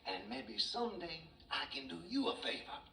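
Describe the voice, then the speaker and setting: Man acting a high, eager little mouse. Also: big pitch swings, moderate pace, pushed and bright, heavily acted. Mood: pleading. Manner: eager, pleading, folksy. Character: small cheerful polite talking mouse. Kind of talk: comic fable storytelling on stage. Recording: roomy, distant, noisy old recording.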